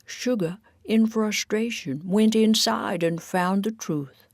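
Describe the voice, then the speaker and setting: A woman's voice: medium pitch, slow, a little mellow, dry recording, pitched above the performer's own voice. A woman voicing eager, kindly lady ladybugs in a storybook, chattily explaining things.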